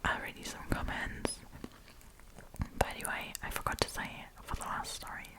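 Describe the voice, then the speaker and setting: A woman hosting an ASMR storytime in a breathy, unvoiced whisper, reading online stories aloud with chatty, informal asides, close to the microphone. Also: moderate pace. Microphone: close propped-up microphone.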